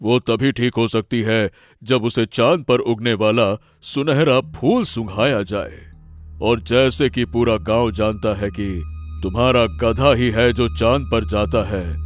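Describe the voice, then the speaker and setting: A man acting grave village elders in a cartoon story, solemn, deliberate and dramatic. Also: mid-range voice, faint music behind, lightly acted. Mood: worried.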